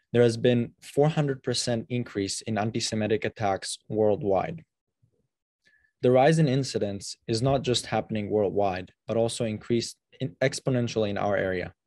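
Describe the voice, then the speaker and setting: A man's voice: medium pitch, mellow, dry recording. Young man giving an earnest speech. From Israel. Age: young adult. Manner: earnest, with small restarts. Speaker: student president. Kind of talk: prepared speech. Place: small room indoors. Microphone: webcam on a video call.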